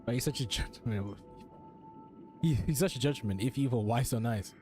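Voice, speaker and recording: A man's voice: medium pitch, brisk, warm tone. A young adult man giving casual, slangy, sweary gameplay commentary with game music behind him. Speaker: game streamer.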